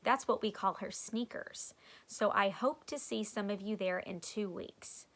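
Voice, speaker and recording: A woman's voice: mid-range voice, unhurried, fairly bright tone. A woman voicing a patient, good-humored woman who guides schoolchildren in a storybook, explaining things.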